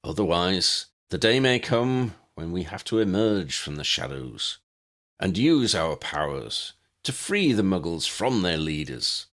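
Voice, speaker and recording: A grave wizard orator played by a male narrator delivers a rallying speech in a low voice. His pitch swings widely as he stresses key words and leaves dramatic pauses, as in a fantasy audiobook. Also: sing-song, fairly bright tone, full character voice. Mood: solemn rallying. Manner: rhetorical, measured phrases with emphatic stresses and dramatic pauses. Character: charismatic male wizard demagogue rallying a meeting, impassioned and grave.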